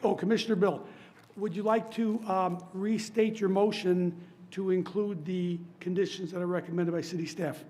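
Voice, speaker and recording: A man chairing a public commission meeting, hoarse from laryngitis, running votes in a steady, polite, procedural way. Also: high-pitched, moderate pace. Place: council chamber.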